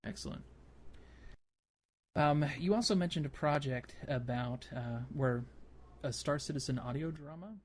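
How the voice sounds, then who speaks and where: A man hosting a casual gaming podcast, talking in a halting way as he thinks aloud. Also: on the high side. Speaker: podcast host.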